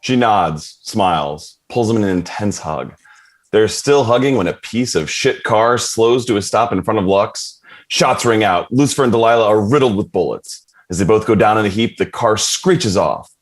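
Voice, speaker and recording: Low-voiced man reading stage directions. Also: normal speed. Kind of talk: dramatic scripted narration at a table read.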